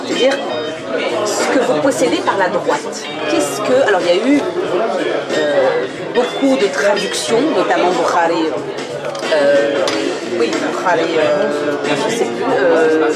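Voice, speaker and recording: A woman's voice: on the low side, lively intonation, slow. A woman interviewing a guest in a café, asking questions in an informal, hesitant way with many fillers. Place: large café or public room indoors. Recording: busy café with music and chatter behind.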